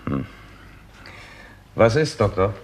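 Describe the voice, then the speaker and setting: An actor playing a brisk, probing chief detective in a 1960s radio crime drama, terse and authoritative. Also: male voice, on the low side, big pitch swings, a little hiss or hum. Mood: questioning, curt.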